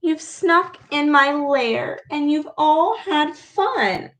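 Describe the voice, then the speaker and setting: A woman's voice: high voice, lively intonation, extremely slow, a bit bright, full character voice. A woman voicing a big, lonely male bear in a storybook read-aloud, in a complaining tone. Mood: sulky.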